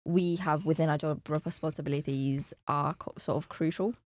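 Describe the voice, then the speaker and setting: A woman's voice: sits low, steady tone, moderate pace. A young woman with a Romanian accent, chatting casually as a student podcast co-host. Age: young adult. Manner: giggly, laughs often.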